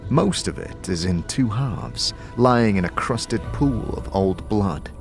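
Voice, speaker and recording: Music plays behind a man with a fairly low voice. He narrates a dark fantasy audio drama in the second person, with vivid, suspenseful delivery. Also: lively intonation, takes it slowly, creaky.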